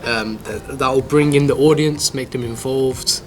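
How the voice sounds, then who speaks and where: A teenage boy speaks as a drama student in an interview. His voice is medium in pitch, and his delivery is informal and a bit halting. There is light music in the background. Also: steady tone. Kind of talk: casual interview chat.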